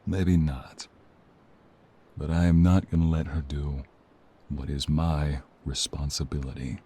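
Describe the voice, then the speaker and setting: A man playing a husky, protective mobster husband. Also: very deep voice, level tone, warm tone, heavily acted. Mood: grim resolve. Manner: slow, intense, emotional pleading. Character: protective, earnest mobster husband, devoted and desperate. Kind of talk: dramatic audio role-play acting.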